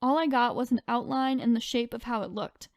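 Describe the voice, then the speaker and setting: A woman's voice: a little high, a little fast, no echo. A woman co-hosting a podcast, reading online stories aloud and chatting in an informal, conversational way. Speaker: podcast co-host.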